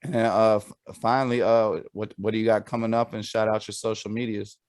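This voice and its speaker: A man's voice: lowish voice, level tone, a little fast, darkish tone, no echo. A man hosting a podcast over a video call, talking in laid-back slang. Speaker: podcast host. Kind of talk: casual video-call interview.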